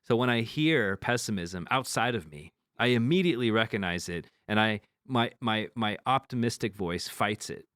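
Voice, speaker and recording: A man's voice: on the low side, lively intonation, moderate pace, hardly any pauses, a bit bright, no room sound, studio quality. A man co-hosting a podcast, talking frankly in casual chat, swearing now and then and often restarting his sentences. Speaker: podcast co-host.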